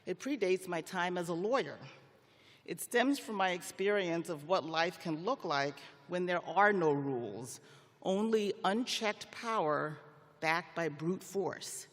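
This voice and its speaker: A middle-aged man speaks from a podium in a large arena, his fairly high voice carried with long reverb. He delivers a prepared ceremonial address as a judge would, in measured, formal phrases with deliberate wording. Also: a bit bright. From Liberia. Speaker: commencement speaker, federal magistrate judge. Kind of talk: prepared commencement speech.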